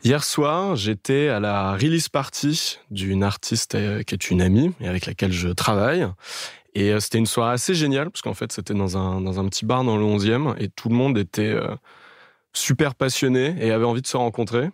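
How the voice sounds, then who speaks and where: Low-voiced young man from Paris, relaxed. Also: normal speed, dry recording, clean studio sound. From Paris area. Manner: relaxed, chatty, many hesitations. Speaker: guest. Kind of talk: casual interview chat.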